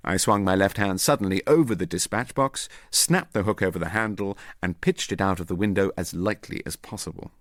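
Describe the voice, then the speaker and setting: A man reading as a self-assured British gentleman agent who recounts a clever trick in an urbane, methodical way. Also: low-pitched, fairly quick, few pauses, no echo, clean studio sound, lightly acted. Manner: urbane, methodical, quietly pleased with himself.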